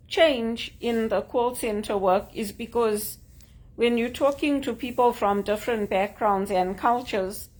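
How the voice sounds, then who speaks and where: A woman from Zimbabwe with a Zimbabwean accent, talking to camera in an earnest, measured way about job hunting. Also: medium pitch, low-quality recording. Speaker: job seeker sharing tips. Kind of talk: casual talk to camera.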